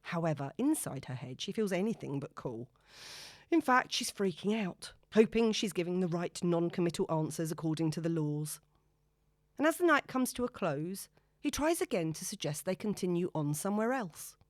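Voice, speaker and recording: A woman audiobook narrator reading a novel in an expressive, dramatic storytelling manner. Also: lowish voice, moderate pace, dry recording, studio quality.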